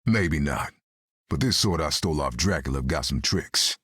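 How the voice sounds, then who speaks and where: An actor playing a gruff, wry half-vampire swordsman in a video game, with a gravelly, terse delivery. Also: animated, moderate pace, fairly bright tone, no room sound, clean studio sound, heavily acted. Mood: grim. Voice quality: gravelly, very deep.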